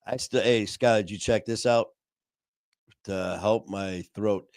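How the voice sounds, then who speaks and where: A man hosting a casual live stream, talking warmly to viewers in a rambling way with many fillers and restarts. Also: fairly low pitch, fairly even intonation, unhurried, no room sound, studio quality. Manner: friendly and upbeat, addressing viewers. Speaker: livestream host.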